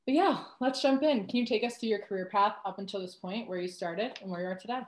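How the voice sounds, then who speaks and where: A woman's voice: mid-range voice, quick pace. Casual interview host, woman, roomy echo around her voice. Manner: laughing, conversational.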